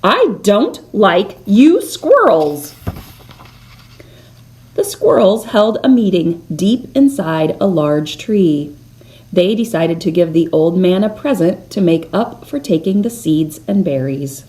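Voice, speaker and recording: A woman narrates a children's story as a read-aloud host. Her delivery is slow and deliberate, and her pitch moves widely as she leans on key words for drama. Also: animated, warm tone. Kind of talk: expressive children's picture book narration.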